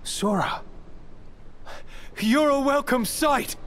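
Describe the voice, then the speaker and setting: A man's voice: very high voice, very slow, a bit bright, a little hiss or hum, exaggerated voice. A man playing an earnest young sailor hero in a video game, explaining things sincerely. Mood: relieved. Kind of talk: dramatic video game acting.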